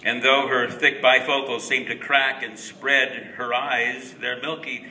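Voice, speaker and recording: A man giving an expressive, dramatic recitation of a rhyming story, with deliberate pauses. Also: mid-range voice. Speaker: reader of a Christmas verse story. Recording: roomy, distant mic with faint noise.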